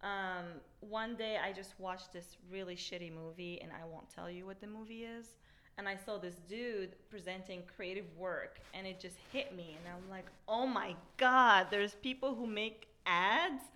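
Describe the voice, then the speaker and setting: A woman guest from Morocco, telling her story in an animated, casual way. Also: mid-range voice, moderate pace. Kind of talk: casual chat.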